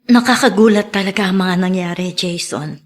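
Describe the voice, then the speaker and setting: A woman's voice: mid-range voice, moderate pace, natural voice. An actress playing a wronged, pained mother in a Filipino radio drama. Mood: earnest. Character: wronged, hurt mother confronting her rival.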